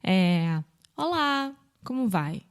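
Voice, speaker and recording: A woman with a Rio de Janeiro accent, co-hosting a language podcast and patiently explaining everyday greetings, acting out examples with bright jumps in pitch. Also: very animated, very slow, smooth. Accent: Rio de Janeiro Portuguese. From Brazil. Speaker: podcast co-host teaching Portuguese. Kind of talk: casual teaching chat.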